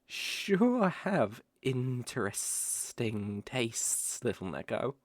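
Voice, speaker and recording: A sly serpent creature, acted by a performer of ambiguous voice in an intimate audio roleplay, speaking extremely slowly in a close, dry recording. The naga coaxes and teases like a predator charmed by small prey, with a smooth drawl and soft chuckles. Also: medium pitch, very animated, no room sound, heavily acted. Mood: amused, teasing. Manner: slow, teasing, coaxing drawl with soft chuckles. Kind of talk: roleplay ASMR acting.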